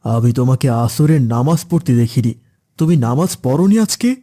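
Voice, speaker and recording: A man's voice: medium pitch, expressive, brisk, warm tone, close to an everyday voice. A man voicing a stern, lecturing father figure in a children's cartoon. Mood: stern, admonishing. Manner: lecturing, admonishing. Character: stern preachy father, later gruff scolding gambler uncle. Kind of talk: Islamic children's cartoon dubbing.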